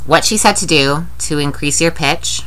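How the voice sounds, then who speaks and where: Nasal woman with a very low voice. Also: moderate pace, a bit bright, poor audio. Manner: rambling, candid, swears casually. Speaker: vlogger. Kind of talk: casual video diary.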